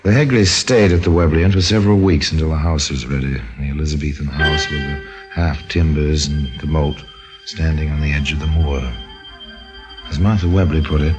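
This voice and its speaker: A man hosting and narrating an old-time radio mystery, wry and deliberate, with a macabre relish. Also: extremely low pitch, steady tone, over loud music. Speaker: narrator and host.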